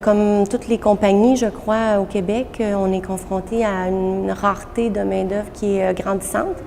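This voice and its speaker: A woman with a gravelly, rather flat voice talks through an interview as a human resources director. She is measured and pauses often on fillers. The recording is echoey, with faint noise in the background. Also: mid-range voice, faint music behind. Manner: measured, hesitant with many fillers. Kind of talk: on-camera interview.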